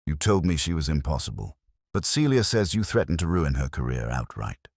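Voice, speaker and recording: Male narrator as wisecracking detective, cool and needling, very low voice with animated pitch, audiobook mystery. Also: a little fast, dry recording, studio quality, exaggerated voice. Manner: pleasant but needling.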